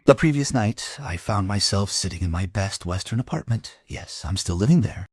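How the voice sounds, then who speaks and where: An actor playing a brooding, persistent crime investigator who narrates and questions in a measured, reflective way in an audio drama. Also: male voice, on the low side, animated, breathy edge, no room sound.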